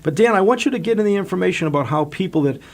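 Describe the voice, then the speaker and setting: A man's voice: high voice, lively intonation, racing. A man hosting a local radio call-in show, rambling along with lots of "uh" and restarts. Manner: rambling, with many fillers and restarts. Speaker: radio talk show host.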